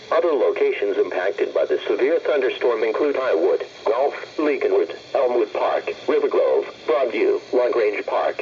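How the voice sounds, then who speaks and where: A synthetic man's voice delivers a scripted weather warning in a formal, flat announcer style. It comes over a radio broadcast with a dull, lo-fi sound and a faint bed of noise at an average male pitch. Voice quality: synthetic text-to-speech voice. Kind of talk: scripted emergency weather alert reading.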